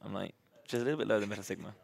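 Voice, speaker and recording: Loud, chatty man hosting a charity marathon. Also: lowish voice, racing, gravelly, slightly rough. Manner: upbeat, chatty, many fillers and restarts. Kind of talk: live event hosting.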